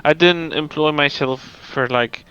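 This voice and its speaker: A man's voice: a little high, a little slow, bright, forceful tone, clean tone, dull audio. A man who is not a native English speaker, venting in casual chat as a stream co-host. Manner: frustrated venting, restarts often.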